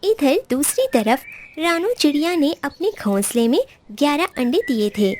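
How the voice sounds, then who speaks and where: A woman's voice: high voice, lively intonation, moderate pace, a little mellow. A woman narrating a scripted children's bird story.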